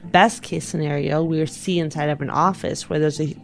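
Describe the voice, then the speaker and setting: A woman's voice: low-pitched, steady tone, moderate pace, gravelly, soft background music. A woman talking casually as a player in a tabletop game, thinking through plans in character. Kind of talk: casual in-game planning chat.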